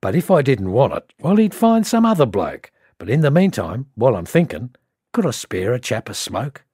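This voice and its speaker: A cheeky Australian punter, acted by a man recites a comic bush ballad. He is wary and colloquial, his husky voice lifted and bouncing widely in pitch. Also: moderate pace, no room sound, clean studio sound. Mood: wry. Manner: lilting, animated, colloquial.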